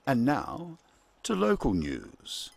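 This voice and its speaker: A man playing a formal 1940s wartime radio newsreader, reading a scripted bulletin in a measured, deliberate way. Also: mid-range voice, huge pitch swings, vocal fry, a little mellow, exaggerated voice. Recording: noticeable background noise.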